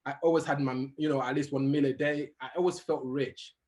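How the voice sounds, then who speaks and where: A young adult man who grew up in Uganda, telling his life story as a guest in an interview, animated and laughing as he goes. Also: medium pitch, fairly quick. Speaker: guest motivational speaker.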